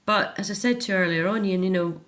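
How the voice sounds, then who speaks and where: A woman's voice: medium pitch, quick pace, a bit bright. A middle-aged Scottish woman talking earnestly and reflectively as a guest in a personal interview. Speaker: interview guest, author and care home volunteer.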